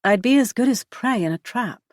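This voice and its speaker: A woman narrating a novel in the first person, with a measured, even storytelling delivery. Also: on the high side, no echo, studio quality. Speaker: audiobook narrator.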